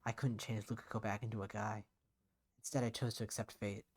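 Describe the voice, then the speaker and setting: A man's voice: on the low side, flat delivery, brisk, darkish tone, natural voice. Man playing a somber, guilt-ridden young man. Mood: guilty, brooding. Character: guilt-ridden, despairing young man narrating his own story. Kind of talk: first-person visual novel narration.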